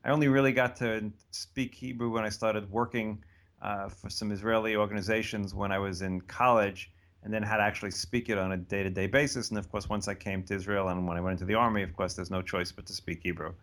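An American man around seventy, answering interview questions in a relaxed, thoughtful way that wanders and restarts. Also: fairly low pitch, fast. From United States. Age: about 70. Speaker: interview guest. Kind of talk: casual conversational interview.

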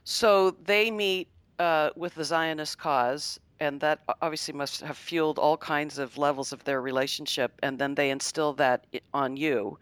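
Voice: mid-range voice, steady tone, normal speed, few pauses, fairly bright tone, clear, resonant tone, no room sound. An interviewer asking curious, polite questions in a casual conversation.

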